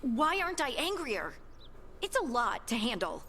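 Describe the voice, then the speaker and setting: A woman's voice: a little high, very animated, normal speed, pushed and bright, a little hiss or hum. A woman acting an earnest, conflicted young dwarf scout in a video game. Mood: troubled.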